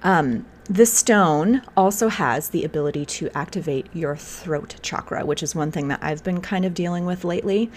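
A woman hosting a podcast in a casual, rambling way, slightly hoarse, sometimes reading aloud from a website. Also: lowish voice, moderate pace, few pauses. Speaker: podcast host. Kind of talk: casual spiritual podcast talk.

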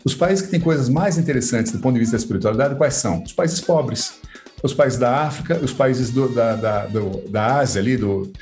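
Paulista guy in his 50s, podcast guest, talks real fast, chatty and slangy, bit of music under it. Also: medium pitch, a little mellow. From Sorocaba, São Paulo state, Brazil. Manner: chatty, colloquial, frequent tag questions. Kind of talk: casual conversational explaining.

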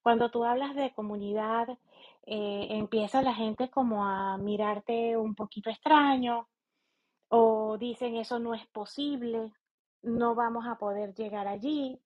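A woman with a Venezuelan accent, giving a reflective informal monologue as a podcast host in a halting, deliberate manner. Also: a little high, steady tone. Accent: Venezuelan Spanish. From eastern Venezuela. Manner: halting, deliberate, thinking aloud. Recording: slightly dull, lo-fi sound.

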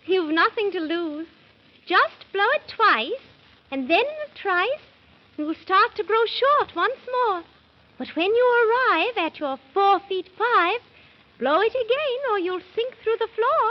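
Very high-voiced storybook queen. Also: expressive, measured pace. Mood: kindly reassurance. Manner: sing-song rhyming, regal. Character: kindly, helpful fairytale queen who speaks in rhyme. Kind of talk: 1930s children's radio acting. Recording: muffled 1930s radio recording.

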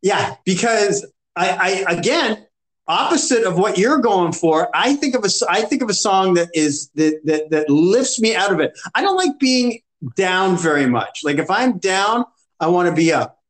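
A man co-hosting a casual podcast chat, talking in halting restarts and laughing easily. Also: high-pitched, big pitch swings. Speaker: podcast co-host.

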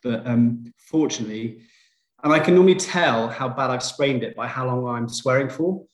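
A man's voice: medium pitch, normal speed. Brief: a man from Liverpool, a podcast guest, chatty and self-deprecating, in a roomy echo. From Liverpool, England. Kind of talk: casual conversation.

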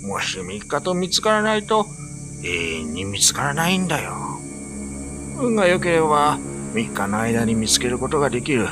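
A man's voice: a little high, sing-song, normal speed. A man acting as a grave narrator and commanding village leader in a ghost story radio drama. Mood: urgent, grim. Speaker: narrator and actor. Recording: voice over loud background music.

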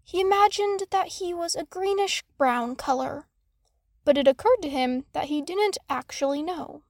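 High-voiced woman narrating a story. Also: expressive, a little slow, no echo, clean studio sound. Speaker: audiobook narrator. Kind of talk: scripted fan fiction narration.

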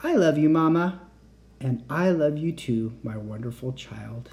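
A man voicing a gentle mother reassuring her child at bedtime. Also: fairly high pitch, lively intonation, a little slow, a little hiss or hum. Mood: tender. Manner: soothing, tender reassurance.

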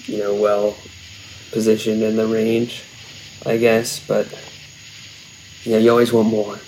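A young man talking in a relaxed, rambling way, full of fillers and restarts, in a casual podcast chat. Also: on the low side, measured pace, slight background noise, poor audio. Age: young adult.

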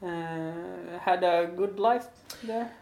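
A woman's voice: lowish voice, very slow, gravelly, darkish tone, reverberant. A woman with a Swedish accent hosting a casual podcast interview, hesitant with many fillers and easy laughs. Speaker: podcast host.

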